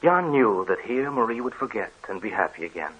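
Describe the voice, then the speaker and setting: Grave male radio narrator, husky. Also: on the low side, sing-song, brisk, fairly bright tone, highs cut off.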